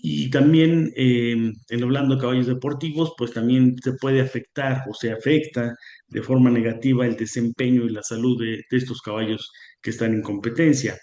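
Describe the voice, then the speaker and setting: A man's voice: mid-range voice, steady tone, normal speed, dark tone, dull audio. A man giving an online conference lecture, hesitant with many fillers and a slightly sore throat. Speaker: guest lecturer on equine nutrition. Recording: roomy echo.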